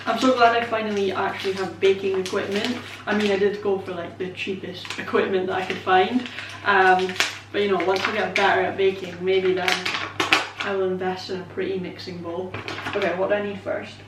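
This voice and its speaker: A woman's voice: mid-range voice, flat delivery, fairly quick, distant mic. Scottish woman, twenties, casual vlog chatter, filler-heavy, off-mic, roomy kitchen, faint room hum. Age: 20s. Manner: chatty, rambling, self-deprecating, many "um" and "like" fillers. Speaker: vlogger. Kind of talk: casual baking vlog chat. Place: home kitchen. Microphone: camera set up away from her.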